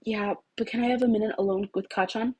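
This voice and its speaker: Woman doing a anxious sweet teen boy, story reading, lifted husky voice, honest and emphatic. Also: mid-range voice, steady tone, quick pace, a little mellow, voice pushed up, close to an everyday voice. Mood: insistent. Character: earnest, anxious, forgiving teenage boy student.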